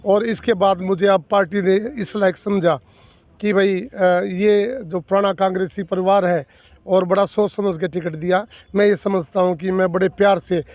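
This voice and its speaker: An older man in his sixties, from the villages of North Delhi, speaks as an election candidate in an interview. His voice sits high for a man and sounds close and dry, with no room around it. He talks earnestly and emphatically, repeating himself and listing his points. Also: fairly even intonation, moderate pace, a little mellow, no room sound. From North Delhi villages, India. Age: 60s. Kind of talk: interview answers.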